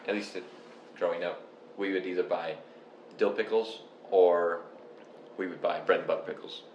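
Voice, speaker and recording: A young man from Canada chatting casually and explaining things step by step in a relaxed, rambling way. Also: deep voice, normal speed, highs cut off. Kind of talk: casual chat. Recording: echoey room with faint noise.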